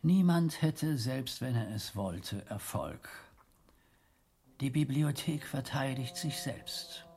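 An actor playing a stern, pious old medieval abbot in a German radio drama, speaking in a formal, rhetorical and measured way. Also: male voice, mid-range voice, animated, gravelly, darkish tone. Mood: forbidding, grave.

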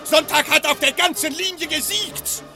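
An actor playing an alarmed man on the run in a radio play, excited and exclaiming. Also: extremely high pitch, normal speed, exaggerated voice. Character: alarmed male companion on the run. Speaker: companion Radcliffe. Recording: music behind the voice.

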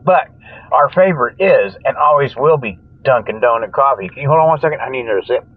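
A man's voice: mid-range voice, lively intonation, fast talker. An older man hosting a comic radio show in a rambling, folksy, joking monologue, often losing his thread. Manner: folksy, jokey, chuckles at own jokes, loses his train of thought. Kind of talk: rambling tongue-in-cheek radio monologue with mock sponsor plugs. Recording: dull, lo-fi, dry radio sound.

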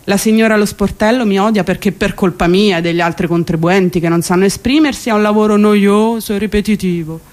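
An actress playing an exasperated, sardonic commuter worn down by city life, in a theatrical radio monologue with ironic, emphatic delivery. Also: female voice, medium pitch, fast talker, hardly any pauses, a little mellow, close to an everyday voice. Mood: indignant, bitter. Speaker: stage actress.

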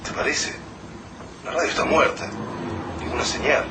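A man's voice: medium pitch, normal speed, close to an everyday voice. A man with a Rioplatense accent plays a cautious, doubting survivor in a radio drama. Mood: doubtful. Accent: Rioplatense Spanish. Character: wary male survivor at the wheel. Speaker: Juan, a survivor driving a truck. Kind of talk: amateur radio drama acting. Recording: distant mic with loud noise.

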